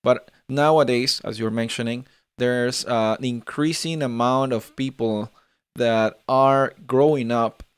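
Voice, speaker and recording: A Spanish-speaking man in his twenties hosts a relaxed live chat, his Latin American accent a blend of many places. His voice is smooth and resonant, recorded close and dry. He talks in a friendly, rambling way, repeating words and leaning on "like.". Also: mid-range voice, measured pace, no echo. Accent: mixed Latin American Spanish. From Peru. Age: 20s. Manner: chatty, repeats words, fills with "like". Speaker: host. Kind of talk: live conversational interview.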